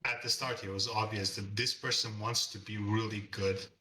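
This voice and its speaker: Earnest bright-voiced man, English not his first language. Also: on the low side, fairly even intonation, normal speed, far from the mic, a little hiss or hum, rough recording. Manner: earnest, reflective, repeats words while searching for them. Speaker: guest artist. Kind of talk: casual interview chat over a call.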